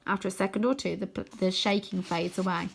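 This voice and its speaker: A woman reading a children's story aloud as expressive scripted narration. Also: medium pitch, a little fast, vocal fry, breathy edge. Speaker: storybook reader.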